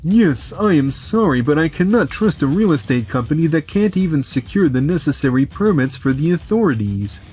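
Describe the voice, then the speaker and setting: A man's voice: a little high, very animated, normal speed, hardly any pauses, darkish tone, faint music behind. A man voicing a stern, principled business client in a scripted manga dub, formal and coolly reproachful. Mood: stern, disappointed.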